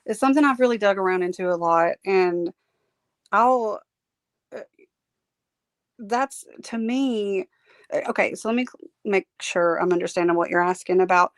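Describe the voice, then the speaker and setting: A woman's voice: medium pitch, moderate pace, pauses often, no room sound. A woman chats casually as a guest, her voice tired and strained. She laughs often and hesitates with many fillers. The sound is dry and close, with no room around it. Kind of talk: casual chat.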